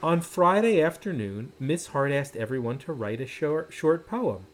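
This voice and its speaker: Man reading a picture book, very animated pitch. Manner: animated, dramatic pauses and drawn-out words. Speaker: storybook reader. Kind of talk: expressive children's picture book read-aloud.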